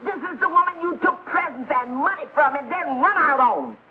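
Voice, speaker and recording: A woman's voice: sits high, expressive, brisk. An actress playing a scornful, middle-aged jilted mother who scolds and snarls, in a vintage television sitcom. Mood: furious, scolding. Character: scornful jilted older woman, a domineering mother. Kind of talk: 1950s television sitcom acting. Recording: noisy, tinny vintage TV soundtrack.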